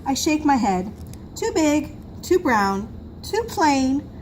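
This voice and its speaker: A woman voicing a fancy, dramatic, excitable little girl from a picture book with expressive, emphatic delivery. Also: sits high, takes it slowly, pitched above the performer's own voice, exaggerated voice. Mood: eager, emphatic. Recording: noisy room recording.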